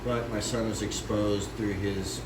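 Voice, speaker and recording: A man with a stuffed-up, runny nose talks through his home fish room in a loose, rambling way, stopping often with fillers. His voice sits at an ordinary pitch and sounds a little distant from the camera mic. The room is echoey, and a loud air-conditioner hum runs underneath. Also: flat delivery. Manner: rambling, with many pauses and fillers. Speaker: fish breeder giving a tour. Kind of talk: casual walkthrough vlog.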